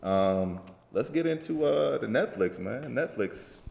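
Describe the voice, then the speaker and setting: A man's voice: mid-range voice, expressive, measured pace, creaky, darkish tone, a little hiss or hum. A man hosting a casual interview, slangy and affirming, often repeating his phrases. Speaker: podcast host. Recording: echoey, reverberant room.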